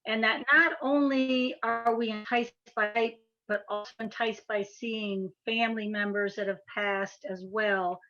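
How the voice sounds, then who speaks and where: A woman's voice: fairly high pitch, steady tone, a little slow, hardly any pauses, a bit bright, highs cut off. A woman caller with a light Southern accent mixed with New Jersey, asking earnest, slightly hesitant questions. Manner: earnest, a bit hesitant, repeats words. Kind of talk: call-in question.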